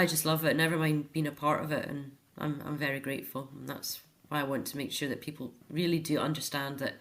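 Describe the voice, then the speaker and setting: A woman's voice: low-pitched, a bit bright. A middle-aged woman with a Scottish accent, chatting earnestly and telling anecdotes as a guest in an informal interview. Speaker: interview guest, author and care home activities volunteer or worker. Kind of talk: informal radio interview chat.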